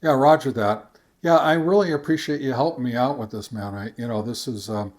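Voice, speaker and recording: A man hosting a casual how-to video, talking informally and somewhat haltingly as he explains each step. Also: mid-range voice. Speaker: host of a ham radio how-to channel. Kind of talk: casual tutorial narration.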